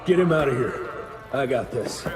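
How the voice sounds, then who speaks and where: An actor playing a tough, weary monster hunter in a western video game, terse and wry. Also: male voice, medium pitch, expressive, normal speed, loud music behind the voice, lightly acted. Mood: curt. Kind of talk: video game cutscene acting. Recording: loud score and outdoor action behind.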